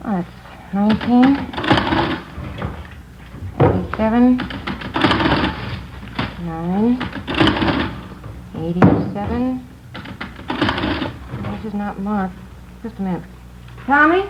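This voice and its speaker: An actress playing a chatty, distracted market counter clerk in a naturalistic 1950s radio drama, speaking casually and haltingly. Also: female voice, medium pitch, animated. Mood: busy, businesslike. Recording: dull, faintly noisy old radio recording.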